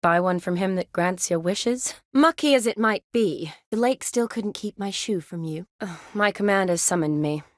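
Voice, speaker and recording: Actress doing a young fantasy game medic lady, warm n playful, teasing, expressive, dry close studio sound. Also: medium pitch, normal speed, few pauses, no echo, lightly acted. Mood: matter-of-fact. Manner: expressive, teasing, sighs and soft chuckles. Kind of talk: naturalistic fantasy video game dialogue.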